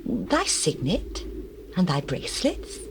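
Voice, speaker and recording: An actress playing a bold, shrewd young widow in a theatrical Bible drama. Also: female voice, lowish voice, wildly swooping pitch, slow pace, heavily acted. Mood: coy bargaining. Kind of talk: scripture dramatization, theatrical acting. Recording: hissy old cassette tape, birdsong behind.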